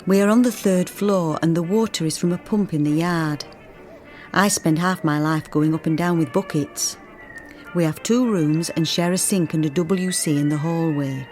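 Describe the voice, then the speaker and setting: Actress playing young emigrant mother, lowish female voice, homesick, plain letter narration, radio drama, soft music bed. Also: moderate pace, natural voice. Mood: wistful, reflective.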